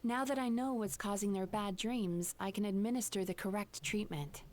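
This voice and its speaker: A woman playing a composed, earnest young dream therapist in a video game, speaking in a measured, formal, gently firm way. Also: medium pitch, a little hiss or hum, close to an everyday voice. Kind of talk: English video game voice acting. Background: game music.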